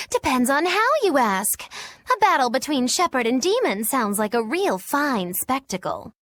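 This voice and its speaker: A woman's voice: high voice, sing-song, a little slow, a bit bright, dry recording, clean studio sound, exaggerated voice. A woman voicing a mischievous, flippant young witch in a video game, teasing and theatrical. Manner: theatrical, teasing, sing-song. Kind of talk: video game cutscene voice acting.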